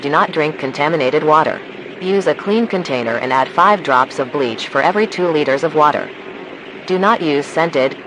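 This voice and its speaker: Casting: man acting as a calm, formal emergency alert announcer, slightly high-pitched, measured delivery, clearly noisy recording. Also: natural voice. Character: calm, formal male emergency broadcast announcer in a disaster scenario.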